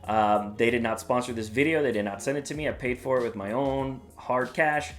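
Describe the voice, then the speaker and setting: A man's voice: mid-range voice, a little fast. A man hosting his own channel, talking casually and upbeat through a gadget review with a few fillers. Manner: upbeat, plain-spoken, with some "uh" fillers. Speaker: product reviewer and channel host. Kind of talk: casual product review vlog.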